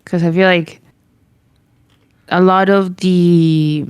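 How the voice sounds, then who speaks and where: A woman's voice: fairly low pitch, steady tone, slow, darkish tone, clean tone, no echo. A woman co-hosting a casual podcast chat. She speaks hesitantly, often restarting as she searches for words. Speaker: podcast co-host.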